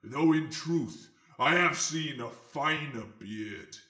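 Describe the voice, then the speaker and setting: A man voicing a savage, taunting fantasy warrior who declaims forcefully, in dramatic character acting. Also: fairly high pitch, animated, slow, echoey room, heavily acted. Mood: scornful, taunting.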